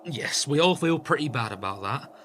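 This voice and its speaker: An actor playing a friendly, chatty hotel worker with a dry joking streak in a radio play. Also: male voice, mid-range voice, lively intonation, moderate pace, fairly bright tone, close to an everyday voice. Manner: chatty, casual, dryly joking. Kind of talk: radio drama acting. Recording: clear noisy ambience behind the voice.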